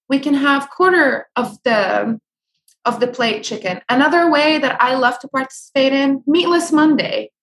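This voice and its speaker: A young woman from Syria speaks in a high, lifted voice. She gives earnest, practical advice as an interview guest, with frequent ums and small restarts. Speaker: guest, food blogger. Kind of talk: conversational interview.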